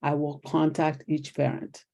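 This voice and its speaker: A woman's voice: low voice, a little slow, gravelly. An older woman from Nigeria with a Nigerian accent, a retired teacher telling stories as an interview guest. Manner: animated storyteller acting out quoted exchanges. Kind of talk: conversational interview storytelling.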